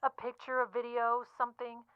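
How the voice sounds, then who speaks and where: An actress playing a brisk, upbeat, pushy literary agent in an audio drama, chatting breezily and cajoling in slang through a telephone filter. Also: female voice, fairly high pitch, completely flat delivery, clean tone, no room sound. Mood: persuasive, breezy. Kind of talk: audio drama acting, chatty phone-call delivery. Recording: tinny, narrow phone-line sound.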